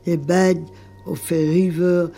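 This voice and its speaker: Slow-speaking older woman reading poetry, mellow voice. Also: on the low side, level tone. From Lebanon. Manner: measured, contemplative. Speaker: author reading own text. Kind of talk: slow poetic literary reading. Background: music.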